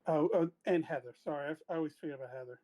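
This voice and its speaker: A man with a slightly high voice talks as a player in a tabletop game. His delivery is casual and hesitant, with decisions worked out aloud. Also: racing, mellow. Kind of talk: casual chat.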